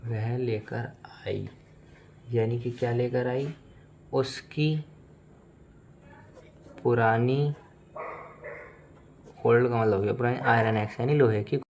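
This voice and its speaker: A man's voice: medium pitch, steady tone, takes it slowly, long pauses, darkish tone, slight background noise. A man with an Indian accent, a native Hindi speaker, teaching English in a bilingual lesson, explaining word by word and repeating phrases.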